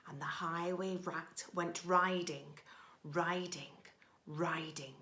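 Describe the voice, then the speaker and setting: A woman's voice: on the low side, pushed and bright. A woman teacher reading a storybook expressively to young children, adding simple little asides. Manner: simple, child-directed phrasing.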